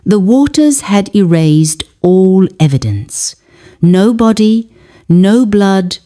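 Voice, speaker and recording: Slow, expressive woman storyteller. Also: on the low side. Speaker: storyteller, narrator. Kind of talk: scripted folktale narration.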